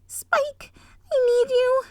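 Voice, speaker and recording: A woman's voice: a little high, big pitch swings, slow pace, darkish tone, no echo, exaggerated voice. A woman voicing an anxious, bossy young cartoon unicorn who cries out in strained bursts. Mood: calling. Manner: strained, crying out.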